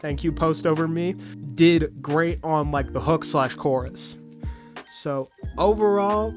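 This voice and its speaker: A man reviewing an album in a casual, rambling way with lots of fillers, sounding a bit sick. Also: fairly high pitch. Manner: rambling with many fillers and restarts. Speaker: music reviewer. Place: bedroom. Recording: music playing behind.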